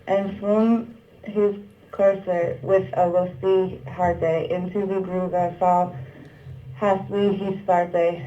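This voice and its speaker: A woman narrating a puppet show, reciting old verse in a measured, declamatory manner. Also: on the low side, level tone, mellow, lo-fi sound, a little hiss or hum.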